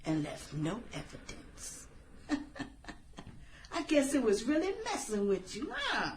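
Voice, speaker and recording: Slow, taunting vengeful woman, dramatically animated acted by a woman. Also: a little high, slight background noise, full character voice. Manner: sarcastic, theatrical, drawn-out phrasing with breathy whispers. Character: bitter, taunting adult woman, vengeful former high school outcast turned killer. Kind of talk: dramatic dialogue in satirical radio drama.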